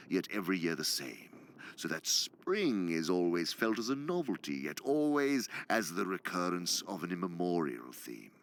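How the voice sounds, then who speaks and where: An actor playing an imperious senior demon in a radio play, lecturing pompously and theatrically with precise diction and hushed, emphatic drops. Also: male voice, low-pitched, creaky, a little hiss or hum, exaggerated voice. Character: senior male demon, imperious scholarly uncle, exacting mentor. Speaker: senior demon lecturing his subordinate nephew.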